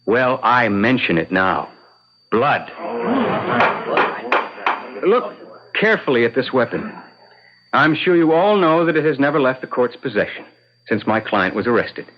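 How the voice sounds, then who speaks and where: An actor playing a confident defense attorney in an old-time radio drama, speaking in dramatic, deliberate courtroom oratory. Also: male voice, mid-range voice, sing-song, a little hiss or hum. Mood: grave, dramatic. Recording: dull vintage radio broadcast recording.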